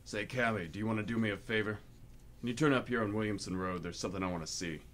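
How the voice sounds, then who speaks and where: A man's voice: on the low side, fast, fairly bright tone, a little hiss or hum, natural voice. A man playing a laid-back, evasive older brother home from overseas in a radio play. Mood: casual, guarded. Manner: evasive, casual, clipped answers. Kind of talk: stage-style radio play acting.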